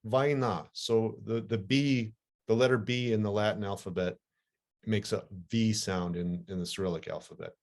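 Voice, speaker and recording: A man whose first language is Ukrainian, hosting a podcast and explaining things in a conversational, animated way with frequent restarts. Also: on the low side, normal speed. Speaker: podcast host. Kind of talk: conversational interview.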